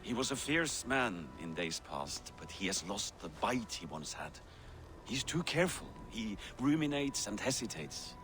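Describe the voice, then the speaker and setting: Casting: veteran Viking schemer, lowish voice, conspiratorial. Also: male voice, big pitch swings, unhurried, a bit bright, a little hiss or hum. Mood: nostalgic. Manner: conspiratorial, boastful. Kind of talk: video game cutscene acting.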